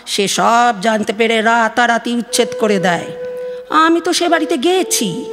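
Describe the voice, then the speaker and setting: A woman's voice: a little high, heavily acted. A woman playing an aggrieved, gossipy middle-aged village mother from West Bengal on the phone in an audio drama, chatty and complaining, with a Bengali accent. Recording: long studio reverb, music behind.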